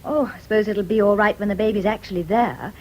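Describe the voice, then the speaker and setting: A woman's voice: mid-range voice, fairly even intonation, quick pace, a little mellow, close to an everyday voice, low-quality recording. An actress playing a naive, girlish young British wife in a radio drama, trusting but anxious. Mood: light, concerned. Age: young adult. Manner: girlish, artless.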